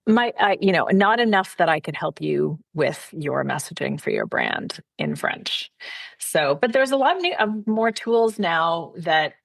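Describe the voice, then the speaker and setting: A woman's voice: lowish voice, very animated, measured pace, creaky, no echo. A woman, a personal brand consultant from Canada, speaking as a podcast guest in a chatty, friendly way with frequent fillers. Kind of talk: casual interview conversation.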